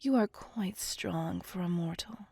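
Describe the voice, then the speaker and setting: Woman playing a slow, breathy vampire seductress. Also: lowish voice, darkish tone, no room sound, clean studio sound, exaggerated voice. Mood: indignant, scolding. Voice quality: breathy, whispery, creaky. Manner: slow, teasing, coaxing and domineering. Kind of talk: ASMR roleplay, addressing the listener.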